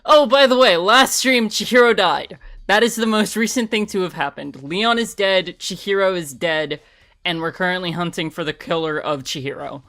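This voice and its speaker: A woman's voice: fairly low pitch, normal speed, fairly bright tone, no room sound. A young woman livestreaming a game, chatting casually with viewers; she is congested with a sore throat, laughs often and swears freely. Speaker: streamer. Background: faint game music.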